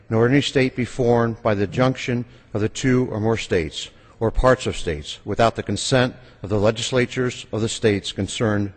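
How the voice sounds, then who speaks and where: Husky-voiced man reading formally. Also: medium pitch, poor audio. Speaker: legislator reading a legal text aloud. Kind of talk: formal read-aloud.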